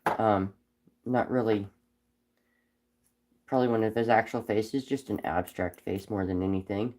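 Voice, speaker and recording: Man with a raspy sick voice. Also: on the low side, fairly even intonation, unhurried. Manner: rambling, frequent ums, soft-spoken. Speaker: livestream host whittling wood. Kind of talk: casual live-stream chat while carving.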